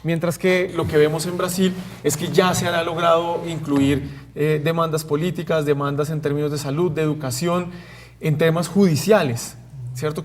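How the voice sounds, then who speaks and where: A man speaks on a TV panel in a slightly raised voice. He is measured, and he hedges his points with frequent fillers. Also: a little high. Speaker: panel guest. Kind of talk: panel debate.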